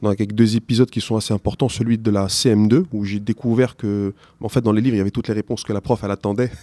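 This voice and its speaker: Man, middle-aged, low voice, non-native French speaker, slow and hesitant, dry close mic. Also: steady tone, mellow, no echo. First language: not French. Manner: slow, hesitant, with many restarts. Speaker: interview guest, teacher. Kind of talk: casual interview answers.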